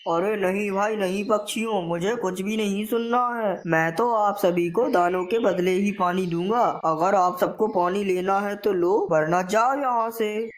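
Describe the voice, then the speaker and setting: A woman's voice: medium pitch, a little slow, hardly any pauses, lightly acted. A woman voicing a sly, greedy male crow in a children's story cartoon, with a taunting, bossy manner.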